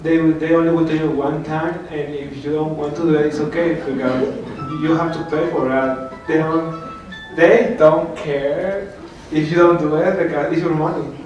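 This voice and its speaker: A man's voice: on the high side, steady tone, moderate pace, darkish tone, muffled recording, faint music behind. Young man with a Mexican accent. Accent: Mexican Spanish. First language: Spanish. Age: young adult. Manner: hesitant, simple phrasing. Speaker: student panelist. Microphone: handheld mic. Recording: echoey room from far off, faint noise.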